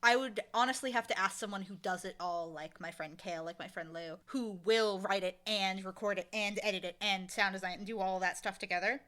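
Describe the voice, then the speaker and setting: A woman speaking casually as an interview guest, animated and emphatic, with a playfully blunt way of talking. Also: mid-range voice, fairly quick, few pauses. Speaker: interview guest, audio drama creator and casting director.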